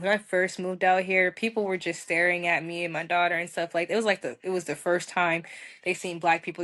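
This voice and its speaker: An American woman with a moderately low voice speaks as a podcast guest. Her delivery is candid and conversational. She phrases things informally and colloquially, as in relaxed everyday talk. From United States. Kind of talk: casual conversational interview answers.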